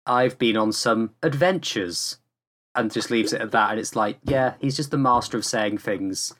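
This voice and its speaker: A man's voice: lowish voice, moderate pace, fairly bright tone. A man chatting casually as a podcast panelist, with hesitant, rambling phrasing.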